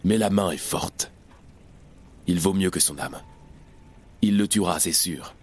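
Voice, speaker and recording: Very low, husky, austere revolutionary man, acted. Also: full character voice. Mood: grim, reflective. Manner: grave, austere, deliberate. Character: harsh, unbelieving, hard-line male revolutionary. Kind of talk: audio drama acting of a stage play.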